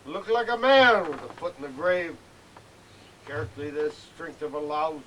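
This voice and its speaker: A man giving a theatrical recitation of a narrative frontier ballad, swelling with emphasis and dropping to hushed lines. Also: fairly high pitch, unhurried. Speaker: amateur poetry reciter. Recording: noisy amateur VHS home video.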